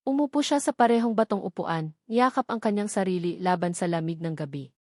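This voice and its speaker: A woman's voice: medium pitch, a little fast, darkish tone, no echo. Woman narrating a dramatic story, dry sound.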